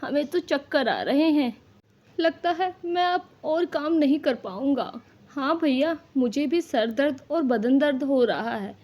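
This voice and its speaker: Woman as a high-voiced, simple village workman. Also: measured pace, highs cut off. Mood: dizzy, complaining. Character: simple, trusting village labourer men. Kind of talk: cartoon story dialogue.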